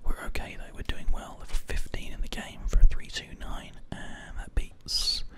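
A gaming host whispering ASMR gameplay commentary very close into a binaural microphone. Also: moderate pace. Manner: hushed whispering throughout. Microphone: close binaural microphone, ear to ear.

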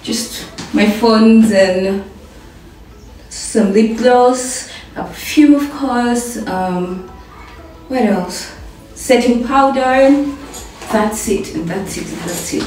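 A woman's voice: on the high side, drawn-out words, slight background noise. Chatty young woman in an echoey bathroom. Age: young adult. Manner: chatty, playful, repeats herself for fun. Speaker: vlogger and home baker. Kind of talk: casual get-ready-with-me vlog chat. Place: bathroom with tiled walls and glass shower. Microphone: camera set back across the room. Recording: echoey bathroom, heard from a distance, soft music.

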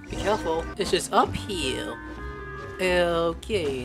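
A woman giving casual, jokey commentary while streaming a video game playthrough. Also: deep voice. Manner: playful, jokey reactions. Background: video game music.

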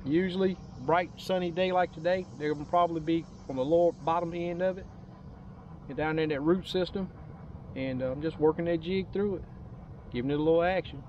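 A middle-aged man giving casual, unhurried, rambling fishing commentary in a folksy way, outdoors on a boat. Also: a little high, darkish tone, muffled recording, slight background noise. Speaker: angler hosting a fishing vlog. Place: open boat on a lake, outdoors.

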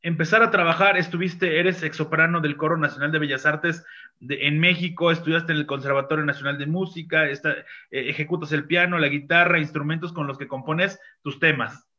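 A man's voice: on the high side, steady tone, pushed and bright. A man in his forties interviewing a guest over a video call, asking questions casually with many restarts. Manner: halting, restarts questions, informal. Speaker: interviewer host. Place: home room with bookshelves. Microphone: video call webcam. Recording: dull lo-fi webcam audio.

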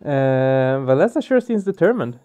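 A man giving casual let's play commentary, halting as he searches for words. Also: mid-range voice, very animated, dark tone, smooth. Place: small room at home, indoors. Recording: dull muffled sound, music behind. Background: game music.

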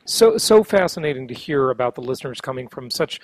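A man's voice: mid-range voice, lively intonation, a little fast, no echo, rough recording. An American man interviewing a guest conversationally as a podcast host.

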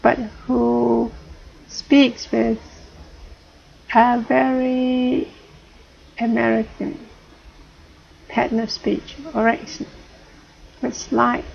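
A middle-aged woman with a British colonial accent talks slowly to her webcam at home, often rephrasing herself. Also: fairly high pitch, little pitch movement, vocal fry, darkish tone. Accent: British colonial English. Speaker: vlogger. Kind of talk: casual talk to camera. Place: home room, indoors. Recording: dull lo-fi webcam audio, faint hiss.

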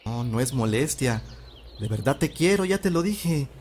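A man's voice: medium pitch, lively intonation, moderate pace, darkish tone, a little hiss or hum, natural voice. A young man with a Mexican accent playing a lovestruck rural suitor in an audio drama. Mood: tender, coaxing.

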